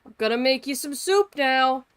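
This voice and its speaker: A woman's voice: sits high, animated, moderate pace, smooth, no room sound. A woman giving casual, sweary, blunt and joking live commentary as a game streamer.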